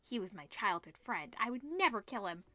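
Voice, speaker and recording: A woman's voice: mid-range voice, sing-song, normal speed, sharp tone, no echo. A woman reading visual novel text aloud as host, dropping in informal asides and reactions. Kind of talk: reading game text aloud with casual commentary.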